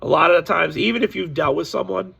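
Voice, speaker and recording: Hoarse, gravelly man vlogging. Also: on the high side, quick pace, fairly bright tone. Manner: candid, complaining, warning viewers. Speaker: sports card seller. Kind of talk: casual direct-to-camera vlog.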